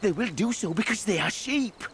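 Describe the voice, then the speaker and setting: High-pitched, pompous revolutionary fairy. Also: male voice, lively intonation, normal speed, fairly bright tone, a little hiss or hum. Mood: earnest lecturing. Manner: pompous, declamatory speechmaking. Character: small zealous revolutionary male fairy orator, pompous and earnest. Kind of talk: video game character monologue.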